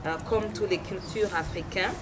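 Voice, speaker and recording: A woman from Burundi hosting an interview, speaking hesitantly with many filler pauses. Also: medium pitch. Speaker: interviewer and host. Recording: loud background noise.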